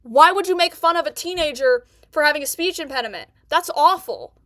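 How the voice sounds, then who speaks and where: A woman's voice: high-pitched, expressive, brisk, a bit bright, no echo, studio quality. Indignant woman streamer who stutters. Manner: indignant, repeats herself. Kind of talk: reaction commentary.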